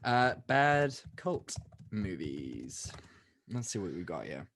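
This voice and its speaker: A young man with a somewhat low voice co-hosts a casual movie podcast. He is chatty and laughs often, and he drops quick asides as he talks. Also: sing-song, fairly bright tone, slight background noise. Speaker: podcast co-host. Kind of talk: casual chat.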